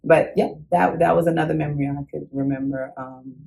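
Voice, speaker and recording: A young woman talks through her childhood memories in an easygoing interview. She is animated, laughs often and acts out what she felt back then. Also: low-pitched, a little fast, creaky. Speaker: interview guest. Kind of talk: casual conversational storytelling.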